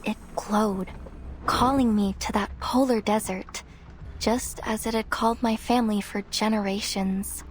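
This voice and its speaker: A woman voicing a determined, wistful young explorer in a video game, speaking in a measured, reflective and earnest way. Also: medium pitch, fairly even intonation, slight background noise, lightly acted, low-quality recording. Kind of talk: scripted game character voice lines. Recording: music behind the voice.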